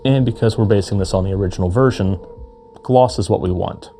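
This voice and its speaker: A man's voice: lowish voice, fairly quick, warm tone, soft background music. A man presenting a cosplay build in casual, explanatory narration. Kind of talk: casual tutorial narration with comedic skits.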